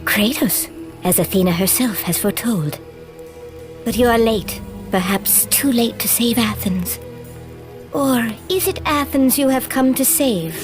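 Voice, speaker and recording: An actress playing a stern, foreboding oracle of ancient Greece in a video game, grave and reproachful. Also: female voice, fairly high pitch, unhurried, natural voice. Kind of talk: dramatic video game acting. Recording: orchestral game music behind.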